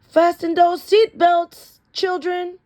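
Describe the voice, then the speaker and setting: A woman voicing an eccentric, brisk schoolteacher from a storybook, announcing things emphatically to her class. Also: extremely high pitch, flat delivery, a little mellow, no room sound, voice pushed up. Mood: brisk, commanding.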